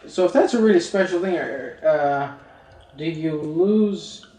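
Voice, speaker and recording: A man's voice: a little high, takes it slowly, dark tone. A man working as an interviewer, asking questions in a casual conversational way. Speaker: interviewer, journalist. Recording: echoey, distant 1970s interview tape.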